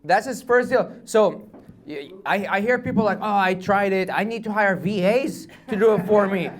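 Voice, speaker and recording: A man with a somewhat high voice, talking casually to a live audience at a meetup. He is blunt and animated, jokes with the crowd and drops a swear word now and then. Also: normal speed. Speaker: guest speaker at a real estate meetup.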